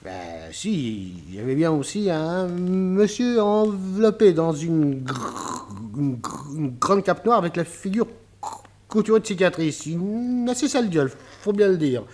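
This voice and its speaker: A man's voice: high voice, very animated, unhurried, darkish tone. An actor playing a nervous, sheepish man in a detective radio drama, stammering and repeating his words as he hesitates. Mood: uneasy, wary.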